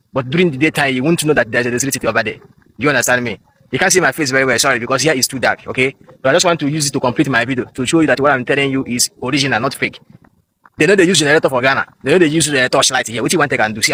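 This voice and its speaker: A man's voice: medium pitch, rapid-fire, pushed and bright, slight background noise, low-quality recording. A Nigerian man whose first language is Igbo, vlogging outdoors on a smartphone in a rambling, defiant way. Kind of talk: phone vlog.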